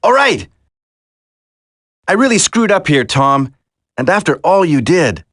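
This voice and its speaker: A man's voice: on the high side, sing-song, unhurried, a bit bright, full character voice. A man voicing an earnest, determined young commando in a video game, urgent in delivery. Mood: apologetic.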